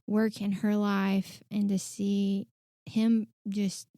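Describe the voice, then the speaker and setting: A woman, a church outreach director, sharing a story earnestly with many hesitant pauses. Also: mid-range voice, level tone, warm tone, no echo, clean studio sound. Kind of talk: casual conversation.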